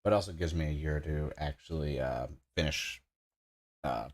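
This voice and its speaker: Man, 30s, extremely low voice, chatty, rambling livestream builder. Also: moderate pace. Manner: rambling, enthusiastic, frequent "like" fillers. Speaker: streamer building a model kit. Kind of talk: casual livestream chat while building.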